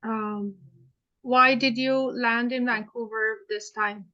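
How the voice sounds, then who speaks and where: A woman playing counsel in a mock hearing, asking questions hesitantly with many pauses. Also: fairly high pitch, steady tone, pushed and bright, highs cut off. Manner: hesitant, many fillers and restarts.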